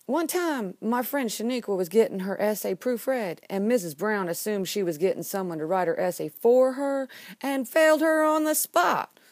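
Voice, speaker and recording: A woman's voice: mid-range voice, animated, moderate pace, a little mellow, dry recording, studio quality, close to an everyday voice. A woman performing a comedic monologue as an exasperated, sarcastic student pleading innocence, with dramatic, emphatic delivery. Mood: indignant outrage.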